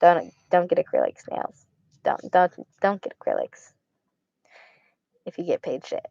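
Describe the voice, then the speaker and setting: A woman's voice: on the low side, huge pitch swings, a little slow, vocal fry, no echo, whispered, highs cut off. A young woman with an Arizona accent, chatting casually with viewers on a stream; she stutters, slurs her words and repeats herself. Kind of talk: casual chat.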